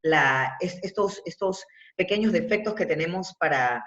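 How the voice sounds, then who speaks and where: A woman's voice: fairly low pitch, animated, moderate pace, fairly bright tone. A woman with an Ecuadorian accent coaching voice and breathing in an online webinar, explaining with emphasis. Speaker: university professor and voice coach. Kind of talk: teaching breathing and vocal exercises in a webinar. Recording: muffled, echoey webinar audio.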